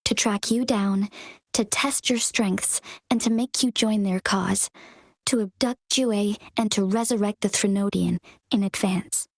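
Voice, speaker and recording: An actress playing a composed young female leader in a video game cutscene, speaking calmly and formally in measured explanations. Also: a little high, no echo, clean studio sound.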